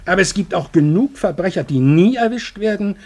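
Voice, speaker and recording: A middle-aged police official from a German radio drama speaks in a fairly high, lively voice. He holds forth like a man giving a toast and explains things patiently, with a husky edge. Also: a little fast. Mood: amused, explaining. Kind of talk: German radio play acting.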